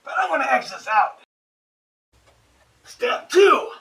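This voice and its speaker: A man's voice: very high voice, very animated, takes it slowly, gravelly, bright, forceful tone, shouting, far from the mic. A man presenting an upbeat tutorial as an instructor, with goofy, exaggerated exclamations and asides.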